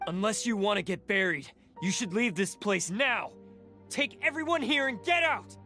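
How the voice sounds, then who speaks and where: Proud, high-pitched, anguished oni. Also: male voice, animated, normal speed, sharp tone, full character voice. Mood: threatening. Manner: intense, bitter, dramatic. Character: proud, guilt-ridden blue oni man, grimly self-sacrificing. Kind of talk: dramatic game voice acting. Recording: background music under the voice.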